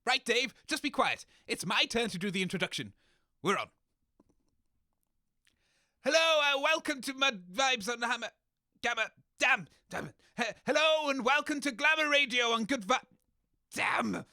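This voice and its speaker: A man's voice: very high-pitched, animated, moderate pace, pauses often, sharp tone, no room sound, clean studio sound, heavily acted. A man with a British accent playing a grumpy, exasperated radio engineer in a comedy audio drama, indignant and complaining. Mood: flustered. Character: grumpy, exasperated male radio engineer sidekick named Fog.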